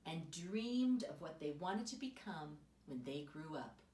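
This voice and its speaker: A woman reading a children's picture book aloud as an expressive storyteller, recorded in a roomy space away from the microphone. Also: sits low, unhurried, a little hiss or hum. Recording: roomy echo, distant microphone.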